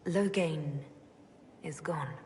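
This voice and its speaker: A calm composed mage leader in a video game, dry, lowish voice, echoey hall. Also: female voice, very slow. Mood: serious, weary. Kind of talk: video game cutscene acting. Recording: hall reverb, faint noise.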